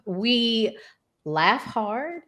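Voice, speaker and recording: Slow-talking woman podcast host. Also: fairly high pitch, very animated, bright, forceful tone. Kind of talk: conversational podcast hosting.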